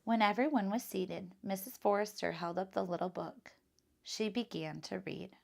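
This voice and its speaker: A woman with a medium-pitched voice and noticeable vocal fry. She reads like a teacher sharing a picture book with children. Her delivery is expressive and instructive. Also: normal speed. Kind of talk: expressive children's read-aloud with casual teaching asides.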